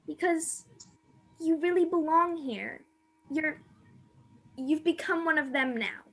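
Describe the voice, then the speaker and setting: Lady playing a wistful naive girl in love, really high voice, earnest radio drama delivery. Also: slow pace, fairly bright tone, full character voice. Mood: resolute, sad. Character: wistful, naive young woman, romantic, secretly longing to escape. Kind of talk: radio play acting.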